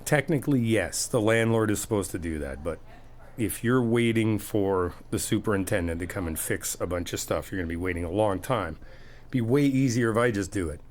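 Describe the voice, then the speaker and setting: Low-voiced playful man, chatty roleplay. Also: fairly quick, a little hiss or hum. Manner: reassuring, helpful. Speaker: ASMR roleplay performer. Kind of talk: ASMR friend roleplay.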